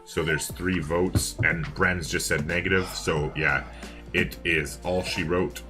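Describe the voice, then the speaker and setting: A man's voice: deep voice, steady tone, takes it slowly, bright, forceful tone, faint music behind. A man co-hosting a live game stream, chatting casually and thinking aloud to his viewers. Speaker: co-host of a livestreamed multiplayer strategy game.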